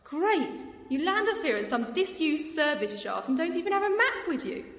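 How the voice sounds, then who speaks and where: Actress as a sharp Time Lady, dry and teasing, high lively voice. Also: normal speed, exaggerated voice. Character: sharp-witted female Time Lord companion.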